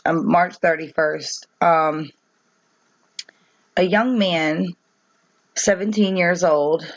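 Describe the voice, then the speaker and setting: A woman with a voice worn down by allergies talks to her viewers in a halting way. She pauses often with ums, says "okay?" a lot and reads a news article aloud. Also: lowish voice, no echo. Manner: halting, many ums and restarts, tags with "okay?". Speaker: vlogger. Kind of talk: casual talk to camera, reading a news article aloud. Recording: dull, dry home recording.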